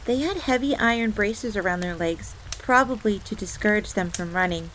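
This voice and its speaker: A woman voicing an excitable, devoted fox maid who tells her story theatrically in the third person. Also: medium pitch, moderate pace, a little hiss or hum, natural voice, poor audio. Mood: devoted, proud. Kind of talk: in-character storytelling audio roleplay. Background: background ambience.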